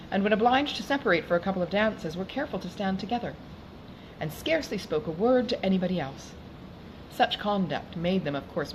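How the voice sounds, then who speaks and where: A woman reading a classic novel aloud in a measured, formal way, as an audiobook narrator. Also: medium pitch. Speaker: audiobook reader. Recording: clearly noisy background.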